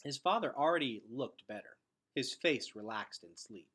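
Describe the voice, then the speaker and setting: Expressive man reading a story aloud. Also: medium pitch, moderate pace. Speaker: read-aloud reader.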